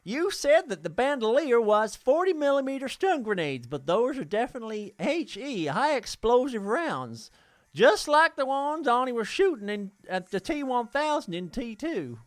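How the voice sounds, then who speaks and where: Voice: medium pitch, very animated, moderate pace, dry recording, studio quality. A podcast host reading listener mail aloud in a jokey, sweary way, often stumbling over the text.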